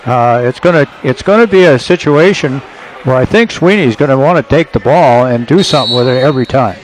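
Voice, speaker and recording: A man giving color commentary on a basketball radio broadcast, offering relaxed, chatty asides to his play-by-play partner. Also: mid-range voice, brisk, noisy background.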